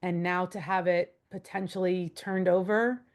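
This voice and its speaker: A woman speaking in an interview in a measured, deliberate way. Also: on the low side, little pitch movement, a little mellow.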